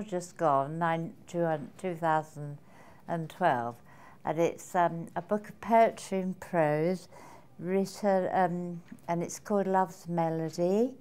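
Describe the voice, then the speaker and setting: A middle-aged woman giving a personal testimonial in a halting, reflective, wordy way. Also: on the low side, darkish tone. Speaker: seminar attendee giving a testimonial.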